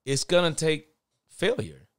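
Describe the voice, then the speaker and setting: A middle-aged man with a naturally big, forceful voice, talking candidly in a podcast conversation and getting louder when he drives a point home. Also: on the high side, big pitch swings, unhurried, no room sound, studio quality. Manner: earnest and candid, speeds up and swells in volume when making a point. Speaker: guest, father of boys.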